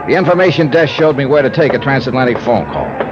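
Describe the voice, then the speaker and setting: Man playing a hard-boiled American detective, punchy wisecracking delivery, lively pitch, muffled vintage radio sound, music behind. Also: fast, close to an everyday voice. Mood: dry narration. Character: tough, brisk, wisecracking American male private investigator. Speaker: lead actor and narrator. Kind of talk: 1950s radio detective acting, first-person narration.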